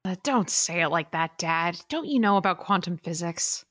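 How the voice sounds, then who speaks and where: A woman's voice: on the low side, expressive, normal speed, fairly bright tone, no room sound. Exasperated teen daughter played by a woman, earnest, very varied intonation, dry audio-drama sound. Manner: earnest, exasperated teenage delivery. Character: bright, exasperated sixteen-year-old daughter.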